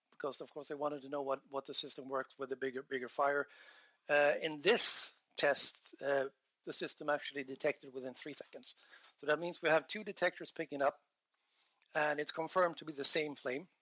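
Bright-voiced man presenting at a conference. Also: a little high, no room sound. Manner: earnest, animated gestures, frequent restarts. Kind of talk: technical conference talk. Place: large conference hall. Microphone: headset mic.

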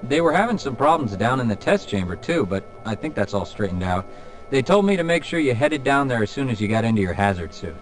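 A man's voice: medium pitch, sing-song, fast talker, soft background music, close to an everyday voice, rough recording. A man playing an easygoing, chatty security guard in a video game. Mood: casual, apologetic. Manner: casual, chatty. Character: easygoing male security guard at a research lab.

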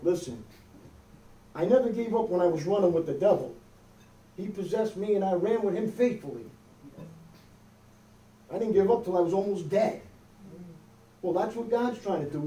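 A man's voice: sits high, animated, moderate pace, pauses often, mellow. Man preaching from far in an echoey hall. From United States. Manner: conversational preaching, frequent "okay?" and "amen?" checks. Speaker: pastor. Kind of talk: sermon. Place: small church hall with wood-paneled wall. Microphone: far camera, no visible mic.

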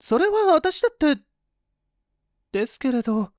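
A woman plays a young woman in an audio story, high-pitched and prim. She is proud and prickly, with anxious, old-fashioned feminine manners and a lively swing in her delivery. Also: measured pace, dry recording, studio quality. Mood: hesitant.

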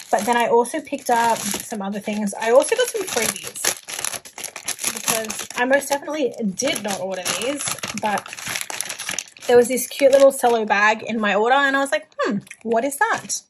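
A woman's voice: on the high side, expressive, normal speed, background noise. A young Australian woman chatting casually and informally as she shows off a shopping haul, with a gushing manner. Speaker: planner haul vlogger. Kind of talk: casual chatty product show-and-tell.

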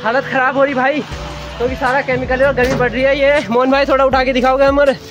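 Chatty high-voiced young vlogger man. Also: level tone, quick pace, lo-fi sound, over music. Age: young adult. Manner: chatty, teasing, laughs at friends. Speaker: village vlogger. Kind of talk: casual vlog chat to camera. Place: outdoors in a village and on a moving bike. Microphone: phone held at arm's length.

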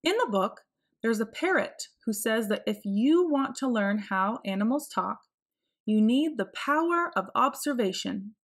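A woman with a fairly high voice narrates a children's picture book. She reads in an expressive storytelling style, phrasing each line clearly for young listeners. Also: measured pace. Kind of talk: read-aloud storybook narration.

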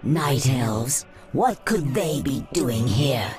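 A woman voicing a devoted, coolly scheming sea-serpent sorceress in a fantasy game, in measured, formal speech. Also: rumbling low, lively intonation, darkish tone, heavily acted. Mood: watchful. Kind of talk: scripted fantasy game acting. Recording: music under the voice.

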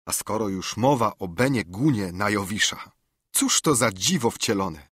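A man's voice: fairly low pitch, expressive, normal speed, fairly bright tone, husky, no echo, studio quality. A man voicing a kindly, earnest middle-aged doctor who pleads urgently, in an audiobook. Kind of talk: audiobook character reading.

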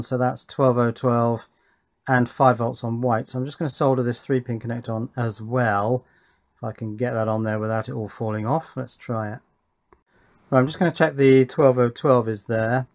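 A man with a mid-pitched voice presents hands-on electronics work in a casual way. He talks as if thinking aloud while he works indoors at a bench. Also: fairly even intonation, normal speed. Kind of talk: casual hands-on build commentary. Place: indoors at a workbench.

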